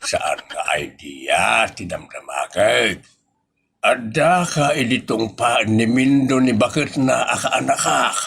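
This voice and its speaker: A man playing a stern, exasperated older village man with an Ilocano accent in a comedy audio drama, interrogating gruffly. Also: medium pitch, lively intonation, slow pace, fairly bright tone, exaggerated voice. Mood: demanding.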